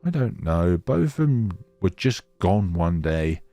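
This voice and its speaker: A man's voice: sits low, sing-song, slow, mellow, no room sound, faint music behind, exaggerated voice. A man voicing a lonely young female snow spirit in a visual novel, wistful and hesitant, with a melancholy manner. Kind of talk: visual novel character reading.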